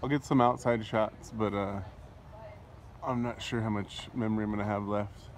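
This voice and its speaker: A man with a fairly low voice narrates a casual travel vlog. He talks in an offhand, rambling way. Clear outdoor noise of wind and water runs behind him. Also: steady tone, normal speed. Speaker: vlogger. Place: outdoors on and around a lake.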